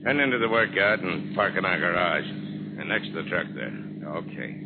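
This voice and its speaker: An actor playing a cold-blooded tough crook who gives clipped, commanding orders in underworld slang, in 1940s radio crime drama style. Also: male voice, low voice, very animated, moderate pace, vocal fry, full character voice. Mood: coolly threatening. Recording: muffled, noisy old radio recording.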